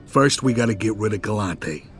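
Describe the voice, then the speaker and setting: An actor playing a middle-aged American mob underboss, friendly but calculating, with casual streetwise tough talk in a crime game. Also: male voice, on the low side, expressive, normal speed, soft background music, lightly acted. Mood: scheming. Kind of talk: cinematic crime game dialogue.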